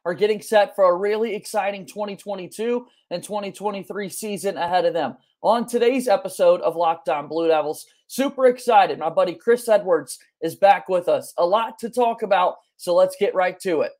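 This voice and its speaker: A man's voice: high-pitched, moderate pace, hardly any pauses, a little mellow. A man in his thirties hosting a sports podcast in an eager, enthusiastic way from a small room at home. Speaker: podcast host.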